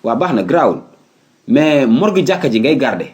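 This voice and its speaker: A man's voice: mid-range voice, big pitch swings, moderate pace, a little mellow, close to an everyday voice. A man playing a breezy, matter-of-fact employer with a Senegalese accent in a comedy cartoon. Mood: matter-of-fact, amused. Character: breezy male employer hiring a night watchman.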